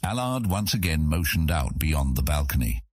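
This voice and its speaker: A man narrating a scripted fantasy audiobook as a measured, expressive storyteller. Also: a bass voice, breathy edge, no echo, studio quality.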